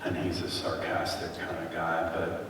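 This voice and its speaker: Male presenter, low-ish voice, relaxed coaxing patter, distant mic in a big echoey hall. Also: moderate pace. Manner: casual, coaxing, chant-like repeated phrases. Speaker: energy healer presenting live. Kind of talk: live demonstration talk. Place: event hall with audience. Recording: echoey hall from far off, faint noise.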